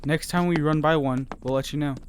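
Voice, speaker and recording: Guy playing a youngish squad kid, highish voice, eager n a bit whiny, slight background noise. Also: steady tone, brisk, close to an everyday voice. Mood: eager, questioning. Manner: casual, questioning.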